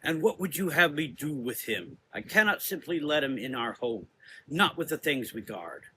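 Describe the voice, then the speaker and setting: A man's voice: on the high side, lively intonation, moderate pace, pushed and bright, a little hiss or hum, rough recording. A man voicing a stern, commanding, suspicious woman of about forty who leads a temple in a gothic audio drama, speaking with accusing authority. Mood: wary.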